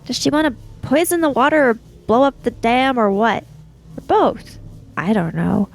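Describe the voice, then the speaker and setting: A woman's voice: on the high side, big pitch swings, moderate pace, vocal fry. A woman improvising in character as a player in a tabletop roleplaying game. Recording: music bed behind the voice.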